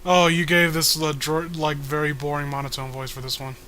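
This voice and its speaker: Casting: male co-host, high voice with a bright edge, loose joking commentary, slightly noisy home recording. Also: little pitch movement, a little fast. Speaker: co-host of a comic reading series.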